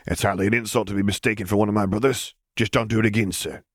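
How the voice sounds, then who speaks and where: A man's voice: lowish voice, fairly even intonation, fast, dry recording, clean studio sound, natural voice. Man voicing a drawling, wry female soldier. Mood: wry, chiding. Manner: drawling, teasing. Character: rough-edged, wry female clone trooper, proudly womanly. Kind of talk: audiobook character dialogue.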